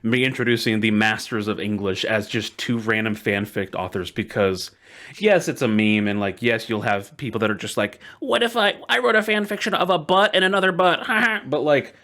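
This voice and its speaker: Male podcast host, mid-pitched, chatty and enthusiastic, leaning hard on repeated words for emphasis. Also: lively intonation, moderate pace, pushed and bright. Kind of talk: casual storytelling podcast chat.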